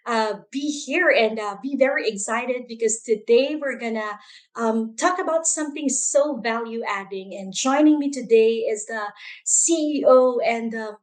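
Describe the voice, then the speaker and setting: A woman's voice: on the high side, measured pace. A woman hosting an online interview, introducing her guest with many fillers and restarts. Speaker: interview host.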